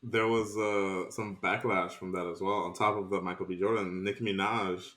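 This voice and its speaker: Brief: halting male co-host, voice echoing in the room. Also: low voice, steady tone, fairly bright tone. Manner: hesitant, searching for words. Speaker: podcast co-host. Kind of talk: casual discussion. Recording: roomy echo.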